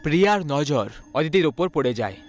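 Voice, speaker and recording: A man's voice: fairly high pitch, lively intonation, moderate pace, soft background music. A man narrating a scripted horror story.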